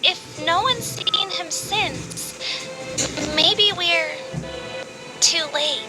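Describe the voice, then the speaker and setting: A woman's voice: very high-pitched, extremely slow, full character voice, low-quality recording. A woman voicing a young female investigator in a video game, careful, helpful and matter-of-fact. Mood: matter-of-fact, pondering. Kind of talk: video game character acting. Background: background music.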